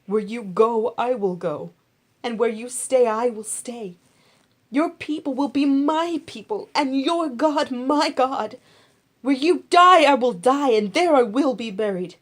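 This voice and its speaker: A woman voicing a devoted, resolute young widow in a Bible story, making vows with fervent, deliberate emphasis. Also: a little high, pitched above the performer's own voice.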